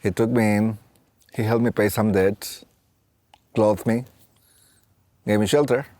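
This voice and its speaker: A man in his late thirties with a Mexican accent, telling his own story in a halting, reflective way. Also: lowish voice, steady tone, no room sound. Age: late 30s. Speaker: facilities and safety technician and staff trainer. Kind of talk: reflective personal storytelling for a training film. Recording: soft plucked guitar music behind. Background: guitar music.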